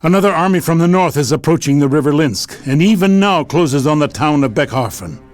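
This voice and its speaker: An actor playing a stern, weary marshal of a fantasy empire, grim and commanding in a game cutscene. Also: male voice, a little high, animated, brisk, faint music behind, lightly acted. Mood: urgent, firm.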